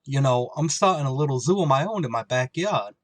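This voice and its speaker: A man's voice: medium pitch, expressive, no room sound, lightly acted. A man playing a dim-witted, eager tavern regular in an old-time radio comedy. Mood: eager, dopey. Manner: slow-witted, naive.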